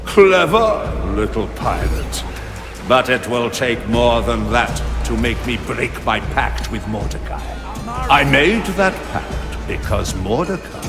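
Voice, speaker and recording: An actor playing an ancient, sardonic cursed plant spirit in a video game, pompous and condescending. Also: male voice, medium pitch. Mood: haughty, impatient. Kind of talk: video game character acting.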